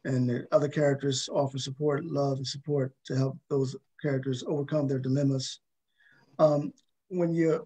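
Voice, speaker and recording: A man hosting an unscripted panel interview, halting and often repeating his words. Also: fairly high pitch, darkish tone. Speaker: talk show host interviewing a cast. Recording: dull, lo-fi sound.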